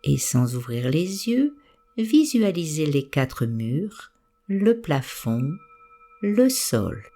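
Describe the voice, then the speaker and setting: A woman guiding a meditation, giving gentle, soothing and unhurried instructions. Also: deep voice, very animated, faint music behind. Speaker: meditation guide. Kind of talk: guided meditation narration.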